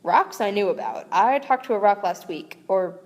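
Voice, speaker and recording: A woman's voice: medium pitch, expressive, moderate pace, long reverb tail, lightly acted. A woman voicing a curious, earnest young boy wizard while reading a book aloud, in nearly her own plain voice. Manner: plain reading voice, barely changed.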